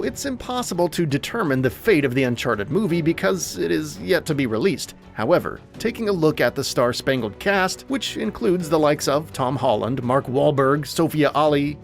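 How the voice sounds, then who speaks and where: A man's voice: a little high, vocal fry. A man reading scripted narration as the host of a movie list show, in a polished, emphatic presenter's delivery. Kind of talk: scripted video essay narration.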